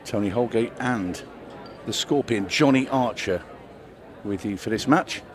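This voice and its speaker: A man giving brisk play-by-play commentary on a pool match, with dry asides. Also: mid-range voice. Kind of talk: live sports commentary.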